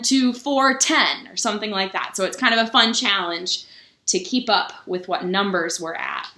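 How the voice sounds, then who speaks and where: A woman pastor reading a children's book aloud for story time, pausing to explain things simply to young listeners. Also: on the high side, bright, forceful tone. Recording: roomy, echoing sound.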